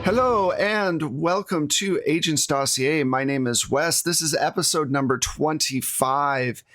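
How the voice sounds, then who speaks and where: A man's voice: fairly high pitch, a little slow, fairly bright tone, soft background music. A man speaking casually as game master and host, recapping the story of a tabletop role-playing game.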